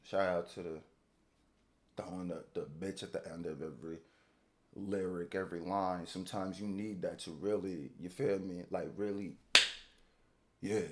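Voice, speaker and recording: A young man, a rapper, talking casually as he reacts to a song, repeating words as he restarts and now and then bursting out loud. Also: sits low, slight background noise. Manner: loose and chatty, repeats words when restarting, sudden loud outbursts.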